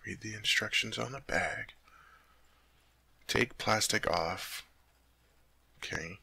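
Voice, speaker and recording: A man's voice: deep voice, creaky, bright, forceful tone, husky, no echo, exaggerated voice. A man playing a sweet, naive teddy bear turned human in an ASMR roleplay, chatting earnestly to the listener as he puzzles over human things. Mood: puzzled, muttering.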